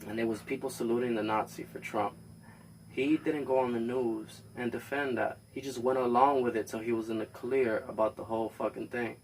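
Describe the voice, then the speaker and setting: A man's voice: mid-range voice, fairly even intonation, a little fast, distant mic, slight background noise, poor audio. A man from Puerto Rico hosting a podcast, arguing bluntly with plenty of swearing and talking over his guest. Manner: blunt and profane, interrupts, talks over the guest. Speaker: podcast host. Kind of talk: heated casual argument. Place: home.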